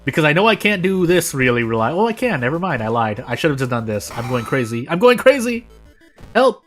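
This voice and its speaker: A man's voice: a little high, very animated, brisk, fairly bright tone. An American man giving casual live commentary as a game streamer, rambling and often correcting himself as he goes. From United States. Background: music.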